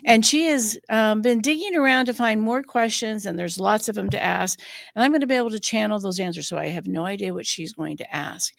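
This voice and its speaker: A woman's voice: mid-range voice, brisk, vocal fry, dry recording, studio quality. A woman hosting a spiritual podcast as a psychic medium, talking in a chatty way with frequent fillers and restarts. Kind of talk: casual podcast chat.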